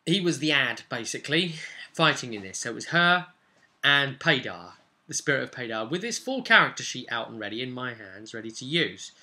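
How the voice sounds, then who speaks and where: A man talks off the cuff like a game master recapping a session. His voice is very bright and forceful at an ordinary pitch, and he rambles haltingly before punching out phrases with emphasis. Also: big pitch swings. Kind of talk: casual unscripted vlog recap.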